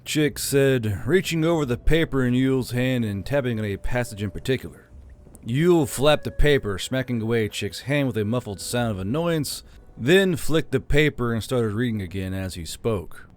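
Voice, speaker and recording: A man's voice: mid-range voice, animated, moderate pace. Man narrating an audiobook.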